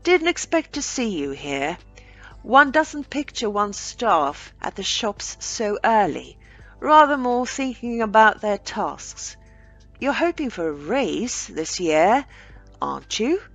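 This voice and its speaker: A woman voicing an overbearing, booming male boss in a storybook reading, condescending and subtly threatening. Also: sing-song, takes it slowly, fairly bright tone, faint music behind, heavily acted. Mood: overbearing, menacing.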